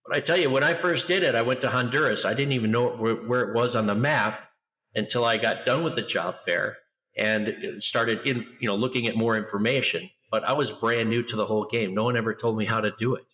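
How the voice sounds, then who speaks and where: Loud middle-aged guy telling stories, deep but bright voice, long reverb tail. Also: brisk. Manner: loud, animated storyteller. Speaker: guest, international school educator. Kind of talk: conversational interview.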